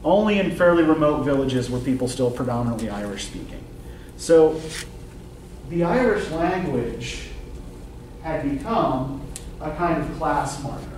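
A man's voice: fairly high pitch, expressive, a little slow, darkish tone. A middle-aged man giving a university literature lecture in a questioning way, often checking with "right?". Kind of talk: classroom lecture. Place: classroom, indoors. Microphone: distant camera microphone, speaking through face mask. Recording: roomy classroom from far off, faint noise.

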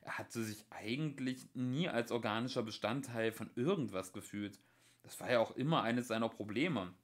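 A young man narrating an audiobook at home, lively and voicing the dialogue. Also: medium pitch, moderate pace. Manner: lively, acts dialogue with voice changes. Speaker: audiobook reader. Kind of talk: scripted narration.

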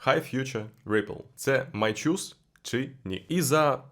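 A man with a Ukrainian accent delivers a scripted tech review at a medium pitch. He moves through the points in order and keeps turning to his viewers as friends. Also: a little slow, a bit bright.

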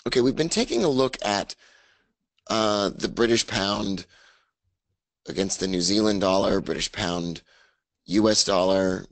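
A man with a raspy voice hosting a casual trading webinar, upbeat as he talks through his charts. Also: lowish voice, a bit bright, no room sound, low-quality recording. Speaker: webinar host. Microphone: studio mic, screen share.

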